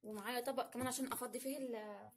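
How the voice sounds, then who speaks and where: A woman's voice: on the high side, steady tone, racing. A young woman with an Egyptian Arabic accent, chatting casually and playfully as the host of a home challenge video. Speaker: vlog host. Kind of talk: casual unboxing challenge chat. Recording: noisy home recording, crinkling packets.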